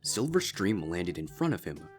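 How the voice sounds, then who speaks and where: A man's voice: sits low, sing-song, moderate pace, soft background music. A man reading a scripted fan fiction story aloud as its narrator.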